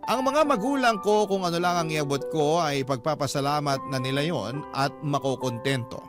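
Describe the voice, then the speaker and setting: A man's voice: fairly high pitch, normal speed. Filipino male storyteller over prominent music. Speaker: drama anthology narrator. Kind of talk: scripted first-person story narration. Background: instrumental music.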